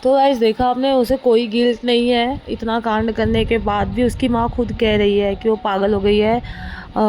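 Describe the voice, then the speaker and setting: A woman's voice: on the high side, a little mellow, slight background noise, poor audio. A woman presenter addressing viewers informally with a spoken recap.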